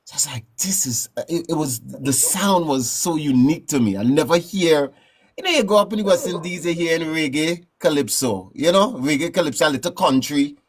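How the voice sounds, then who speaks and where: A man from Trinidad with a Trinidadian accent, telling stories in a relaxed, rambling way as a guest in a casual interview. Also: fairly high pitch, a little fast, husky. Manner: relaxed, rambling, frequent "you know what I'm saying". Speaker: guest musician.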